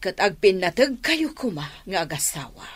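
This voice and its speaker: A woman's voice: medium pitch, big pitch swings, moderate pace, husky. A woman with an Ilocano accent playing a kindly older mother in a radio drama.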